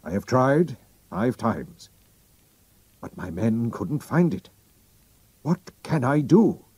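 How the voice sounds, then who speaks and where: A man's voice: medium pitch, big pitch swings, takes it slowly, a little mellow, husky, dry recording, pitched above the performer's own voice, heavily acted. A man voicing a proud, anxious king who speaks formally and commandingly. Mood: troubled. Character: German-accented royal visitor, proud king, anxious.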